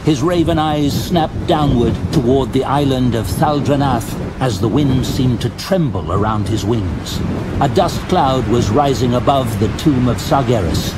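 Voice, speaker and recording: A man's voice: mid-range voice, very noisy. Man narrating a fantasy audio drama. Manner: measured, dramatic storytelling. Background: faint music.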